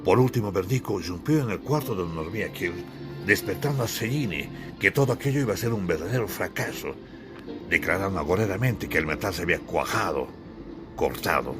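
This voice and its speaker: Low-voiced male audiobook narrator over music. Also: lively intonation, fairly quick. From Bolivia. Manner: theatrical, literary storytelling. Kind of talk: dramatic scripted literary narration.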